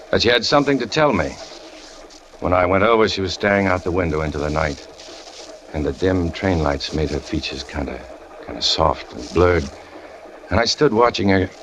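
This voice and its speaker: An actor playing a brooding, jealous young male trapeze artist who narrates in a low, tense, confessional way in a 1940s radio drama. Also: a bit bright, a little hiss or hum. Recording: muffled old radio broadcast with music.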